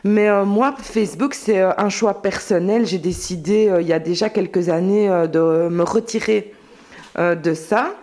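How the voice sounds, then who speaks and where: A woman's voice: mid-range voice, normal speed, darkish tone. A woman, a community association worker, speaking reflectively with many hesitations. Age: 40s. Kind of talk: reflective interview talk.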